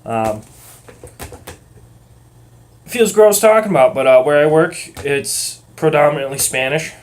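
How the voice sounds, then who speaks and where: A young man with a muddled Massachusetts accent, talking in a calm, rhythmic way and rambling casually with lots of ums and uhs. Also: fairly high pitch, a little slow. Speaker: vlogger. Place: at work.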